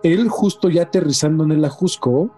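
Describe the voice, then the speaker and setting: Higher-voiced Mexican man hosting, mellow tone. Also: a little fast. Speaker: podcast host. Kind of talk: casual, animated storytelling. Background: faint music.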